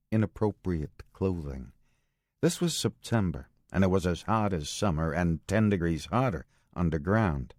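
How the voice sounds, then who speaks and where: A low-pitched American man narrates a thriller novel in short, clipped phrases. His delivery is deliberate and terse, as scripted first-person storytelling. The recording is very dry and close, with no room sound. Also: a little mellow, no room sound. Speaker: audiobook narrator. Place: studio.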